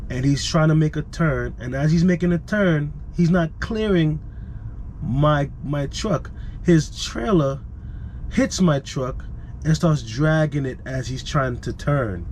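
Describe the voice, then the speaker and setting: A man's voice: on the high side, slow, darkish tone, slight background noise. A man who vlogs about truck driving, telling a story casually, repeating phrases for emphasis, recorded on an action camera microphone. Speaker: vlogger, truck driver.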